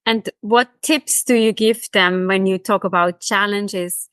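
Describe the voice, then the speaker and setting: A woman's voice: medium pitch, steady tone, measured pace, fairly bright tone, smooth, no echo, studio quality. A woman hosting a podcast, introducing guests and asking interview questions.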